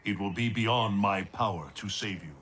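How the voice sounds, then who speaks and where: A wise, solemn thunder god voiced by a man with a low, calm voice. He speaks in measured, formal sentences as a mentor in this game cutscene. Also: a little hiss or hum, lightly acted. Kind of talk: video game cutscene acting.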